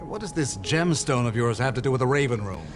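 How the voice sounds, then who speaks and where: A man plays a stern intelligence chief for a video game. He sounds formal, measured and drily skeptical, at a middle pitch. Music runs clearly under the voice. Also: lively intonation, natural voice. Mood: skeptical, dry. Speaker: superior officer. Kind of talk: video game cutscene acting. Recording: game dialogue over background music.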